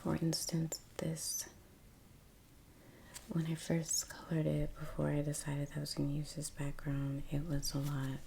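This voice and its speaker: A woman speaking in a soft, breathy half-whisper, chatting casually and haltingly in an ASMR style as she paints. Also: deep voice, monotone, slight background noise. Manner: unhurried, halting, trailing pauses. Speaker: ASMR painting video creator. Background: soft brush sounds.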